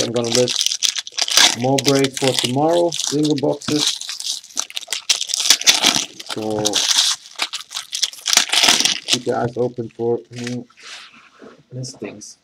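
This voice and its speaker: A man giving casual, halting live commentary as he hosts a sports card box break, matter-of-fact in manner. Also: medium pitch, very noisy. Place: indoors at a tabletop.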